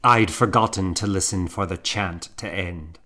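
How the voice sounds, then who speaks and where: A man narrating a historical novel in the first person, with a measured, dramatic storytelling manner. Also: low-pitched, bright, forceful tone. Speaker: audiobook narrator.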